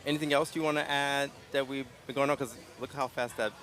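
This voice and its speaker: A man hosting a casual live interview at an outdoor street festival, speaking in a calm, gentle, unhurried way. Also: medium pitch. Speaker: interview host.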